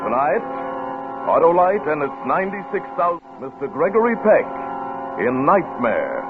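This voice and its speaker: Male announcer over loud music. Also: fairly high pitch, sing-song, normal speed. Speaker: radio program announcer. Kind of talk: dramatic scripted 1940s introduction. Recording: dull vintage radio broadcast.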